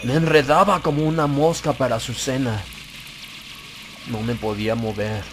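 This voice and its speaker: A man narrating a horror story in a slow, deliberate, grave and dramatic way, as for an audiobook. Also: medium pitch, noisy background.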